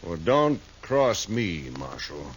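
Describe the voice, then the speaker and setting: An actor playing a shady, possessive gambler villain in a western radio drama, smug, taunting and menacing. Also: male voice, low voice, sing-song, slow, lo-fi sound, heavily acted. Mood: threatening. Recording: old radio recording, faint noise.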